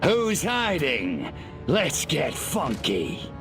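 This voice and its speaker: Cocky game alien invader: gravelly, sardonic, swooping pitch, music underneath. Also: male voice, wildly swooping pitch, very slow, full character voice. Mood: cocky taunting. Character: cocky, sarcastic little grey alien invader. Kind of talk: video game cutscene acting.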